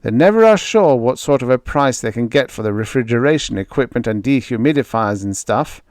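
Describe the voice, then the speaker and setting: A man reading an audiobook, voicing a big, hearty spaceman in his forties with a booming, blunt, slangy way of talking. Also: lively intonation, a little fast, darkish tone, no room sound, studio quality, natural voice. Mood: matter-of-fact. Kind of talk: audiobook character voice.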